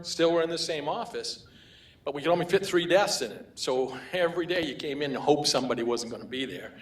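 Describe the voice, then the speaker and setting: An older man with a Maine accent giving a humorous award acceptance speech, telling anecdotes with chuckles. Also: a little high. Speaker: award recipient. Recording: roomy hall echo.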